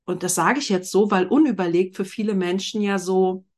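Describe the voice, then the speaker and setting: A woman leading a group discussion in a slow, deliberate way, her phrases often trailing off softly. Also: medium pitch. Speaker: workshop facilitator.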